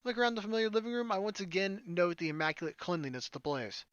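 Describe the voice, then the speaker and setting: A man's voice: high voice, fast talker, fairly bright tone, clean tone, dry recording, highs cut off. A man hosting a gaming video, reading a visual novel aloud expressively and dropping in casual asides.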